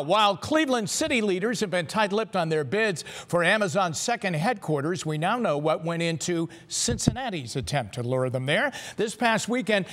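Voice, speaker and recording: A man's voice: high-pitched, a bit bright. A man with an American accent reading scripted news as a TV anchor in a studio.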